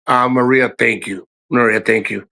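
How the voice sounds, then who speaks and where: Middle-aged male streamer, gravelly fry, mid pitch, congested from a cold, profane rambling chat. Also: steady tone, moderate pace, pushed and bright. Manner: rambling, profane, restarts often, addresses chat by name. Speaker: livestream host. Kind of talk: casual live-stream chat to viewers. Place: his house.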